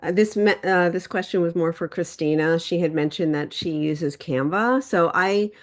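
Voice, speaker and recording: A woman with a notably deep voice talks casually on a group video call. She comes across as modest and self-deprecating about herself. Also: normal speed. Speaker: meeting participant. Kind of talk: casual chat.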